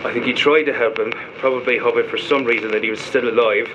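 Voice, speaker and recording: An actor playing a hot-tempered, loyal stowaway prisoner, defiant and emotional, in an audio drama. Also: male voice, mid-range voice, fairly even intonation, fast, lo-fi sound, background noise, natural voice. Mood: pleading, earnest. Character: hot-tempered lower-class stowaway prisoner, fiercely loyal to his friend.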